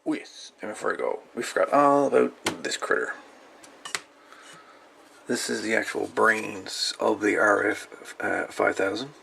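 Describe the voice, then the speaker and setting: A man's voice: on the low side, animated, measured pace, vocal fry, bright, forceful tone, slight background noise. A man hosting a hobby video, chatting casually and unscripted in a folksy way as he shows things off. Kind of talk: casual show-and-tell of salvaged radio parts.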